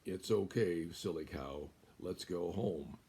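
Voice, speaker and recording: An older American man voicing a kindly farmer in a storybook, gently reassuring his silly cow. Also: low-pitched, slow, husky, heavily acted.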